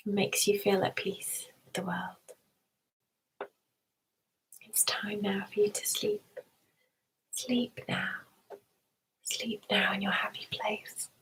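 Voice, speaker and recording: A woman softly guiding a meditation in an ASMR style, mostly in a breathy whisper, soothing and gentle, often repeating words. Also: medium pitch, rough recording. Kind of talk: ASMR guided meditation roleplay.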